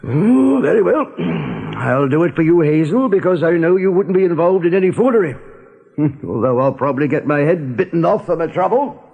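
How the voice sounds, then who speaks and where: An actor playing a gruff, worldly rabbit guard in a radio drama, telling things in a colloquial, dramatic, sardonic way. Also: male voice, a little high, lively intonation, moderate pace, phone-quality sound, full character voice. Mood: grudging. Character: gruff male rabbit guard, worldly, grimly relishing storyteller.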